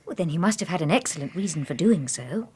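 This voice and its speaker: A woman playing an affectionate, sensible, gently teasing Victorian doctor's wife in a radio drama, with an English accent and husky voice. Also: on the low side, animated, fast talker, slight background noise, lightly acted. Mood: fond, teasing.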